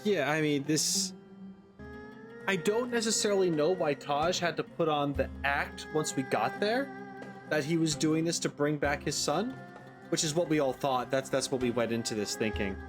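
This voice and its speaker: A man's voice: fairly high pitch, normal speed. Rambling male gamer thinking aloud over music. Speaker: gaming video host. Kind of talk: casual playthrough commentary.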